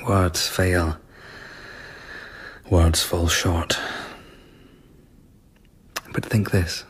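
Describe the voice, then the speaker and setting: An eccentric court mystic in a radio play. The voice is lowish and husky, with very slow, weighty phrasing. He declaims with solemn, earnest conviction. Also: level tone, exaggerated voice. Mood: reverent, earnest. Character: eccentric Japanese court performer turned earnest spiritual mystic. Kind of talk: radio drama acting.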